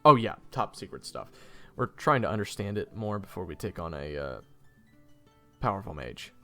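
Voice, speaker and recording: Gravelly, flippant young adventurer, played by a man. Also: low voice, big pitch swings, moderate pace, fairly bright tone, soft background music, exaggerated voice. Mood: sheepish, hesitant. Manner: wry, casual, awkward and hesitant. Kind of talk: audio roleplay acting with first-person narration.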